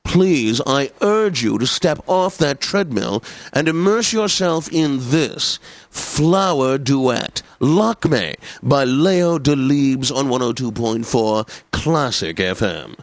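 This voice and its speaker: An actor playing a pompous, snobbish classical radio DJ for a satirical video game station, with formal, theatrical enunciation. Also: male voice, medium pitch, lively intonation, slow, no room sound. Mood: smug, genteel.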